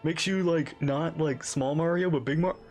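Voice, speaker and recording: A man's voice: on the high side, moderate pace, a little mellow. A man giving casual live reaction commentary as he streams a video game. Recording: game music behind the voice.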